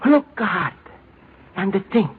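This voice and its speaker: A man's voice: high voice, very animated, slow pace, full character voice. An actor playing a good-natured, naive Italian immigrant man with an Italian accent and singsong broken English in an old-time radio comedy. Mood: gentle, encouraging. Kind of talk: 1940s-50s radio comedy acting. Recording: noisy, tinny old-time radio recording.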